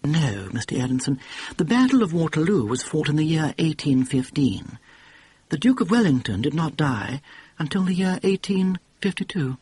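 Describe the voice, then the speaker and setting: Male reader doing a prim, pedantic young man with a refined accent, husky. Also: on the high side, sing-song, moderate pace, a little mellow, dry recording, voice pushed up. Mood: deferential.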